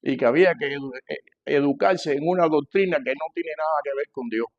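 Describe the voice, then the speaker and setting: An older man with a Cuban Spanish accent preaching to a congregation, emphatic and repeating phrases, swinging between loud and hushed delivery. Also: on the high side, fairly quick, highs cut off. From Cuba. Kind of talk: sermon, teaching a church congregation.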